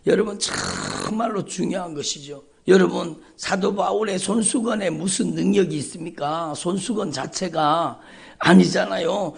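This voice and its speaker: A man's voice: high-pitched, gravelly. A male pastor preaching an emphatic, repetitive sermon at a dawn church service, calling out often to the congregation. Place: church sanctuary.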